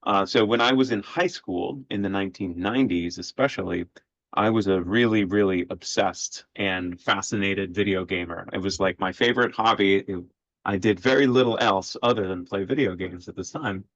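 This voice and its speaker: A man in his forties from Sweden, a history professor speaking as a podcast guest in a measured way with many filler sounds. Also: deep voice, no room sound. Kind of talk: podcast interview. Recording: dull lo-fi audio, dry.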